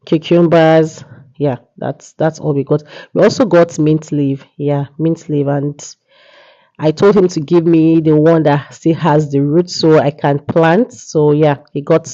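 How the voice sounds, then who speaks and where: A woman's voice: deep voice, mellow, dull audio. A woman vlogger with a cold and a congested voice, chatting casually and often saying "yeah" and "you know". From Nigeria. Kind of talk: casual market vlog narration.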